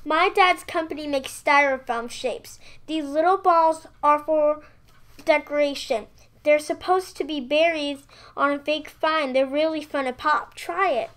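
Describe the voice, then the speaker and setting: A woman's voice: sits high, unhurried, a bit bright. A female performer playing a friendly, encouraging young schoolgirl in a radio play.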